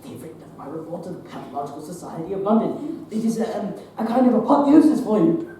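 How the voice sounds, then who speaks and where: An eager, excitable Victorian gentleman doctor, played by a woman, exclaims with a widely swinging pitch. The stage performance is heard from a distance, very echoey and noisy. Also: quick pace. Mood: excited, proud. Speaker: stage actor in a teen theatre program. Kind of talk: live stage drama acting. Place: theatre stage, recorded from afar.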